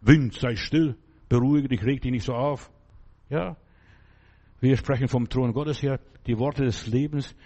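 A man's voice: medium pitch, unhurried, long pauses, warm tone, dull audio. A man preaching a fervent, emphatic sermon in German, which is not his first language, repeating phrases for emphasis.

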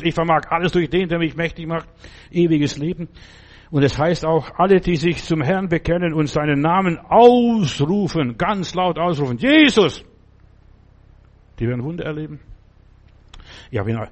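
Man, preacher, fervent sermon, slightly high voice. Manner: emphatic, fervent, exclaims names loudly. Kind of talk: sermon and prayer.

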